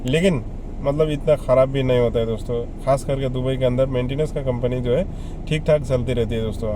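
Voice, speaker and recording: A man's voice: medium pitch, noisy background. A man giving casual, conversational advice to viewers as a vlogger, often repeating himself and listing examples. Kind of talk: casual explainer addressed to viewers.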